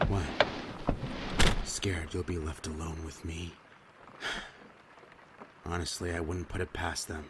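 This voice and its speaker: A man acting as a gloomy, deadpan character in an audio drama. His voice is very low and dry, with loud noise behind it. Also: takes it slowly, long pauses.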